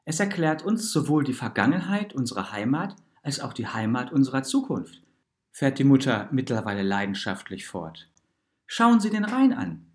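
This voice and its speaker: Guy narrating a written story, really animated pitch, mid-range voice, deliberate delivery. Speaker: narrator reading a literary text. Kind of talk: scripted narration of an essayistic story.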